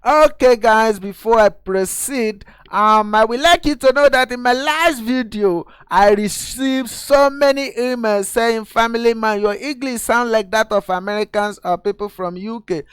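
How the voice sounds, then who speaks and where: A man's voice: very high-pitched, unhurried, hardly any pauses, a bit bright, clear, resonant tone, dry recording, studio quality. A man from Nigeria, host of his own channel, explaining a video editor step by step in a screen-recorded tutorial and calling viewers guys.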